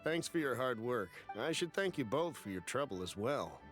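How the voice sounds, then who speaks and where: A man playing a kindly, polite older uncle in a video game, fond and measured in his talk. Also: medium pitch, very animated, over music, lightly acted. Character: kindly, grateful older uncle at transport agency.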